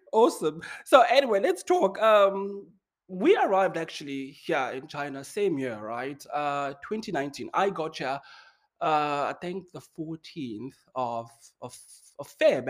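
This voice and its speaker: A man hosting a casual interview, upbeat and chatty, firing off quick questions. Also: a little high. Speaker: podcast host. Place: China.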